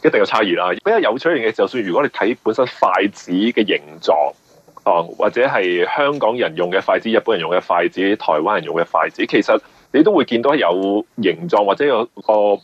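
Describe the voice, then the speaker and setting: A man's voice: on the low side, expressive, a little fast, breathy edge, no room sound. A man from Hong Kong with a Cantonese accent, explaining things conversationally as an interview guest over a muffled remote line.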